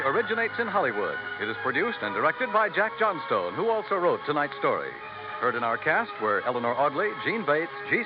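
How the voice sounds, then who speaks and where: A man with an American accent doing scripted 1950s radio announcing. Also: fairly high pitch. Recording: dull vintage radio recording, loud music.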